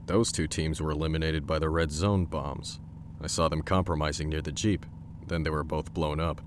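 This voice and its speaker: A man's voice: a bass voice, fairly even intonation, moderate pace, noisy background. A man playing a blunt, protective soldier who talks tersely. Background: music.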